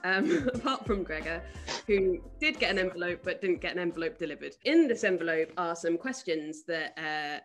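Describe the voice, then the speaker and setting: A woman in her late twenties from Wales with a fairly low voice. She hosts a relaxed group conversation over a video call, speaking informally. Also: normal speed, soft background music. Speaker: host and charity chair. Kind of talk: casual group chat.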